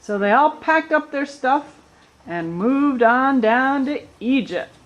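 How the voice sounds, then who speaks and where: A woman's voice: sits high, slow pace, lo-fi sound. A woman narrating a puppet show, telling the story with colloquial asides.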